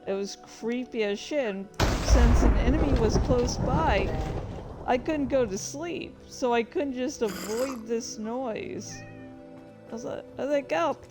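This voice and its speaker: Slow-talking woman with a higher voice. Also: loud music behind the voice. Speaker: host of a reading and gaming channel. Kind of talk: casual storytelling about gaming.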